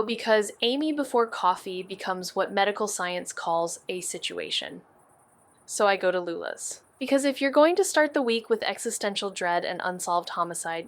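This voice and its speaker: A woman playing a wry, wary young amateur detective in a noir podcast drama, with a deadpan, sardonic way of talking. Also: mid-range voice, natural voice. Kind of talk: first-person noir podcast drama narration and dialogue.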